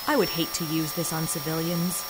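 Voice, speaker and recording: A woman's voice: sits low, level tone, normal speed, background noise, natural voice. A woman playing a haughty, coldly sarcastic scientist in an audio drama, clipped, formal and imperious.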